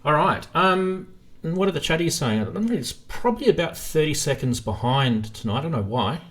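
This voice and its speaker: A man's voice: fairly high pitch, animated, a little fast, fairly bright tone. A man hosting a live stream in a casual, informal chat, thinking aloud as he goes.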